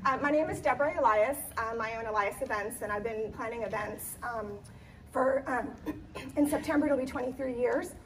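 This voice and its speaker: Slightly hoarse woman presenting at a conference. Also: fairly high pitch, moderate pace, recorded from a distance, slight background noise, low-quality recording. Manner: chatty, many ums and restarts. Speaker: event planner giving a conference talk. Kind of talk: live presentation to an audience. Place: conference room.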